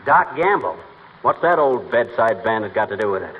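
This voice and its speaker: An actor playing a blustering, wisecracking middle-aged husband with a folksy American delivery in a 1940s radio comedy. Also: male voice, on the low side, sing-song, moderate pace. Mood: grumbling. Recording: noisy, muffled old radio recording.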